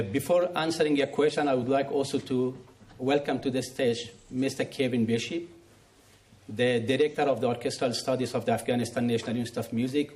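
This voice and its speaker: A man's voice: mid-range voice, darkish tone. A man speaking on stage at a forum, giving formal remarks and answers as a music school director. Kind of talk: public thanks and question answering at a forum. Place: on stage at a live forum event.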